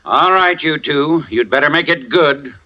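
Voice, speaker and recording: A man's voice: a little high, lively intonation, measured pace, bright, forceful tone. An actor playing a blunt, tough-talking male sheriff in a 1940s radio drama. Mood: gruff. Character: gruff impatient small-town male sheriff. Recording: dull lo-fi old radio recording.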